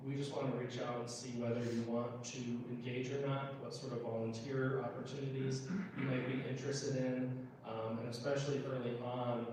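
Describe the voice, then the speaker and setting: A very reverberant, noisy room recording of an American man in middle age. He talks as a political candidate at an informal town meeting. His delivery is even in pitch, explanatory and broken by halting pauses. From Minnesota, United States. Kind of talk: informal town hall speech, answering questions. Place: indoor public meeting room, recorded from a distance. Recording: roomy echo, far mic, clear background noise.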